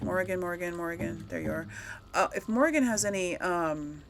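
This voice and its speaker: A woman's voice: lowish voice, fairly quick, vocal fry, background noise. Woman chatting casually as a gaming host. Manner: rambling, chatty. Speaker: host of a video game let's play channel. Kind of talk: casual gaming commentary to viewers.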